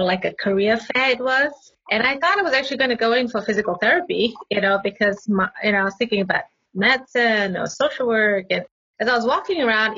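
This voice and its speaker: Woman from Malaysia, forties, husky voice, lo-fi remote audio, friendly storytelling. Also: fairly high pitch, a bit bright. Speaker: guest, pediatric occupational therapist. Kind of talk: casual interview.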